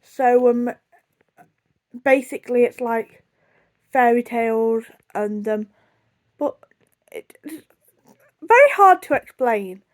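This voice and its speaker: A young British woman with a fairly high voice talks casually to her viewers. She rambles in a chatty, self-deprecating way, often sidetracking herself as she tells her story. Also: expressive, takes it slowly, long pauses. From United Kingdom. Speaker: video blogger.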